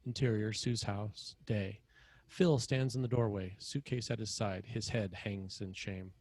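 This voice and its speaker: A man reading screenplay action as narrator, in clipped, dramatic, measured phrases. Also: lowish voice, fairly even intonation, darkish tone, no room sound. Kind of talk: scripted screenplay narration, present tense.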